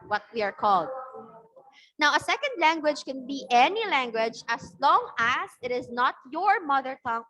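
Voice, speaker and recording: High-voiced Filipino woman teaching online. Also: fairly bright tone, poor audio. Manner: explains patiently, often checks with "okay?". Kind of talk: online class lecture. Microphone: video call.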